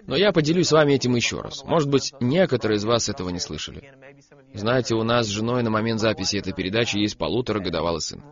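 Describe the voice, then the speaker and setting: A man's voice: lowish voice, lively intonation, fast talker, highs cut off. A young adult man, a guest preacher on a program, tells a personal story as part of a sermon and makes his points with emphatic repetition.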